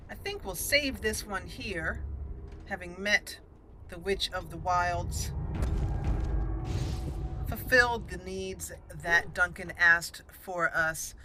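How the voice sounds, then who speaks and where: A woman hosting a roleplay playthrough, wrapping up the episode gently. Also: fairly low pitch, noisy background. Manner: soft, formal when in character, relaxed outro. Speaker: host. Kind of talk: roleplay gameplay commentary.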